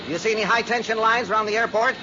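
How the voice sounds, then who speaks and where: An American actor playing a brisk, insistent insurance man who flies planes, urgent and persuasive, in vintage radio drama style. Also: high voice, natural voice. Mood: brisk, instructing. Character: brisk, insistent male insurance adjuster and pilot. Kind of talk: 1940s-50s radio drama acting. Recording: muffled, noisy old radio recording.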